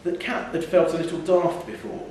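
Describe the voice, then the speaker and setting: A man's voice: a little high, animated, moderate pace, darkish tone. Guy reading a story live, really echoey, mic far away, bit of hiss. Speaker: reader of a short story. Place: theatre venue, indoors. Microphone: distant from speaker. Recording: very echoey, distant mic, faint noise.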